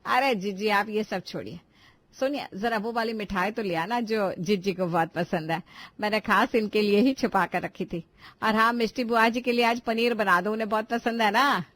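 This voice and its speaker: A woman voicing a bossy, fussy middle-aged mother-in-law in a cartoon family drama, nagging and quick to take offence. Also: medium pitch, a bit bright, natural voice. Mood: eager, welcoming. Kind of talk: animated family drama voice acting in Hindi.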